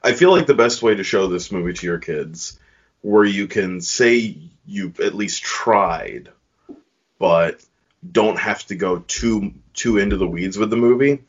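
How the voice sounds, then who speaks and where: A man in his thirties with a husky voice, co-hosting a podcast with dry sarcastic asides. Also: lowish voice, measured pace, lo-fi sound. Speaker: podcast co-host. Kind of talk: casual chat.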